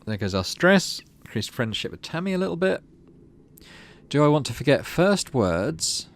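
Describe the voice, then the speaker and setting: A man's voice: fairly high pitch, big pitch swings, moderate pace. A man hosting a game playthrough, reading story text aloud expressively and adding casual asides. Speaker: gaming channel host.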